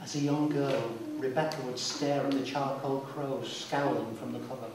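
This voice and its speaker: A man narrating a scripted dramatic story live, in a measured, deliberate way. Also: mid-range voice, steady tone, darkish tone. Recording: distant, very echoey hall, clear noise.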